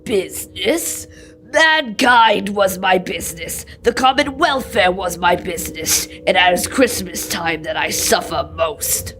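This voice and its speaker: A woman's voice: on the low side, lively intonation, a little slow, a bit bright, soft background music. A young woman acting a doomed, mournful male ghost, solemn and portentous, in an old-time radio drama. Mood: anguished, grave. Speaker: student actor.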